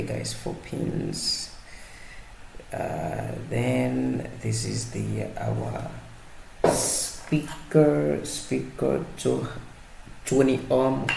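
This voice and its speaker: A man giving a casual, unscripted hands-on tutorial as presenter, halting as he searches for words and repeats himself. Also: mid-range voice, gravelly. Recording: roomy echo, faint background noise.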